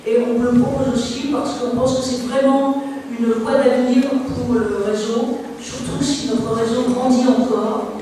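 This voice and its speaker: High-pitched woman presenting in an echoey hall. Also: steady tone, a little slow, mellow. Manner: didactic, step-by-step explaining. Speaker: project presenter. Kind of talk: conference presentation. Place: large reverberant room at a conference. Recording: echoey hall from far off, faint noise.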